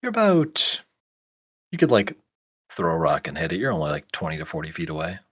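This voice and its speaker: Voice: deep voice, wildly swooping pitch, fairly quick, husky. A game master improvising narration for a tabletop role-playing game, casual and thinking aloud.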